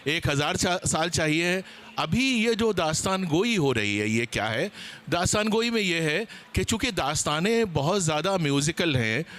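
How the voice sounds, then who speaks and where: A man speaking as a seminar panelist, explaining at length with some hesitant pauses. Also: on the high side, fairly bright tone. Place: book fair seminar with a live panel.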